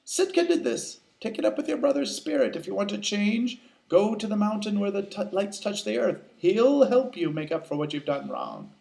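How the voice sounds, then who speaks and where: A man's voice: sits high, lively intonation, moderate pace, gravelly, few pauses, a little mellow, pitched above the performer's own voice, low-quality recording. A man voicing a commanding wise woman who scolds and instructs. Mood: stern, urgent. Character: brisk, commanding wise woman, village shaman.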